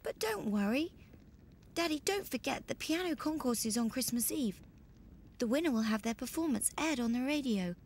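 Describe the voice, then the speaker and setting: A woman's voice: high voice, expressive, normal speed, darkish tone, a little hiss or hum. A woman playing a sweet, devoted, childlike young girl in a video game cutscene, speaking earnestly. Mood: earnest, hopeful.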